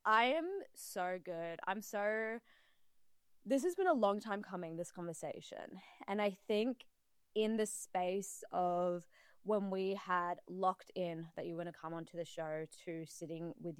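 Host: woman, smooth clear voice, bubbly and warm-hearted delivery, dry sound. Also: medium pitch, no room sound. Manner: bubbly, effusive. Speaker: interview host. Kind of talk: casual interview.

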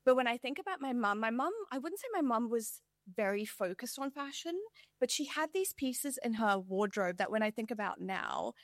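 A woman's voice: on the high side, animated, normal speed, no room sound, clean studio sound. Reflective woman with a hard-to-place accent. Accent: mixed, hard to place. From India. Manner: reflective, rambling, many fillers and restarts. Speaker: guest. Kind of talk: casual interview chat.

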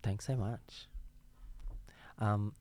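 A man's voice: lowish voice, fairly even intonation, extremely slow, dark tone, no echo. A man co-hosting a casual radio show, giggly and playful. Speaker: radio co-host.

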